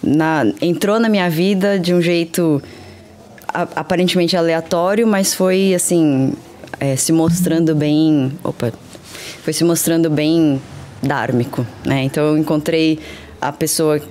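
A woman with a Brazilian Portuguese accent, a guest on a podcast, speaking in a thoughtful, halting way. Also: low-pitched. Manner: thoughtful, halting, laughs softly. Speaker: guest, kung fu master. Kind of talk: casual interview talk.

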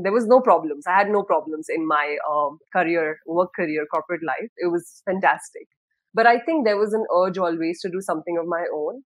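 A woman in her thirties from Dubai talks about her career on a podcast. Her speech is relaxed and reflective, full of small pauses. The recording is dry and close, with no room sound. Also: on the low side, no room sound, poor audio. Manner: thoughtful, many fillers and restarts. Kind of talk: casual interview talk.

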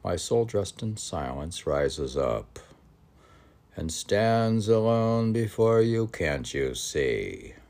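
A man hosting a poetry podcast, reading verse and musing aloud in halting, questioning asides as if talking to himself. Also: deep voice, very animated, darkish tone. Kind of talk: poetry reading with rambling casual commentary.

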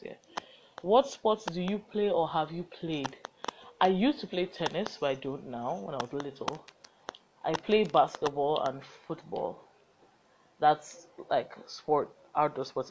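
A man's voice: on the high side, fairly bright tone, no room sound, low-quality recording. A teenage boy with a Nigerian accent, chatting casually through get-to-know-me questions on his own podcast, sounding tired. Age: teens. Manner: chatty, rambling, self-mocking asides. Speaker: podcast host.

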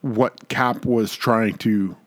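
A man's voice: fairly low pitch, steady tone, slow, no echo. A man co-hosting a podcast in casual, opinionated chat, making points emphatically and repeating words for stress. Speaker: podcast co-host.

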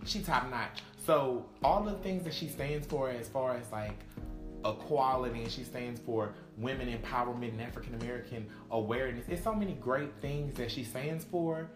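A man's voice: mid-range voice, over music. Emphatic Texan man, echoey room. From Texas. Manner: emphatic, drawn-out, opinionated delivery. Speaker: podcast co-host. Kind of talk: casual podcast chat.

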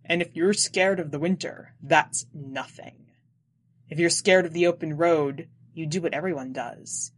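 Woman as a low, terse male traveler. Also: a little slow. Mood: dismissive calm.

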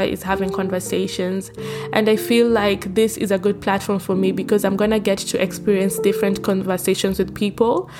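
A young Kenyan woman with a Kenyan accent, hosting a casual solo podcast, blunt and chatty with frequent fillers. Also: medium pitch. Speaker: podcast host.

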